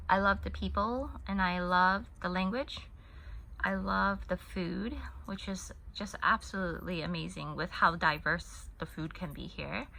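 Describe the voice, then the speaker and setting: A woman's voice: lowish voice, bright, forceful tone, a little hiss or hum, rough recording. A woman in her thirties who grew up in the United States and spoke Korean first, chatting casually at home while she crochets, rambling and often restarting her sentences. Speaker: crochet channel host. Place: home in Hong Kong.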